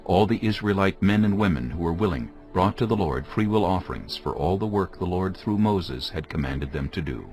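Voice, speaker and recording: A man with a very deep voice narrates scripture from a script. His intonation moves widely as he tells the story, and music plays clearly underneath him. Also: expressive, normal speed, hardly any pauses, a little mellow. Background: music bed.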